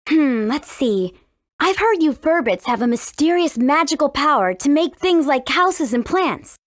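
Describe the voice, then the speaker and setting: A woman's voice: high voice, lively intonation, unhurried, no room sound, clean studio sound. A woman voicing a confident, cheeky teenage heroine in a video game, breezy and persuasive. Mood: teasing, sly. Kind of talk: video game character acting.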